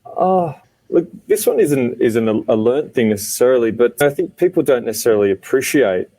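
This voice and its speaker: A man's voice: mid-range voice, lively intonation, normal speed, dark tone, dry recording. A man, speaking casually as a research guest, hesitant and often repeating his words. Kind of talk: casual chat.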